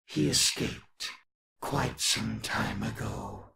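Menacing animatronic villain, extremely creaky, forceful, coldly gloating, video game. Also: male voice, fairly low pitch, slow, exaggerated voice. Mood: cold menace. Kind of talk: video game character acting.

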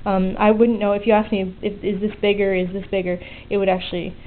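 A woman talks through a hands-on project in a casual, explanatory way, with a medium-pitched voice. The sound is thin and phone-like, as from a small camera mic, with some faint noise behind her. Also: level tone, a little fast. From outside the US. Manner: explanatory, conversational. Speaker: DIY electronics video maker. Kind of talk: informal hands-on tutorial narration. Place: indoors at a desk. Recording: muffled phone-like lo-fi audio, noticeable noise.

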